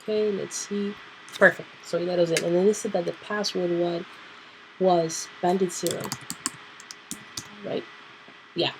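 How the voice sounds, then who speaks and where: A woman hosting a casual computer tutorial, nasal and clearing her throat because she is sick, thinking aloud with fillers. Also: fairly low pitch, fairly even intonation, unhurried, long pauses, slight background noise, poor audio. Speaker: tutorial host. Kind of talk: casual screen-recorded tutorial.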